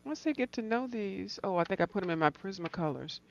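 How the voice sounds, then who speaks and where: A woman's voice: medium pitch, animated, fast, vocal fry, muffled recording, a little hiss or hum. A woman chatting casually on a call, rambling loosely and thinking aloud as she talks. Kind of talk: casual chat about art supplies.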